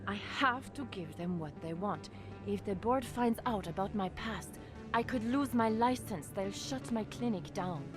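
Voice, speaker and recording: Worried clinic doctor, played by a woman, soft-spoken, music under her. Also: medium pitch, a little slow, lightly acted. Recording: game audio with music.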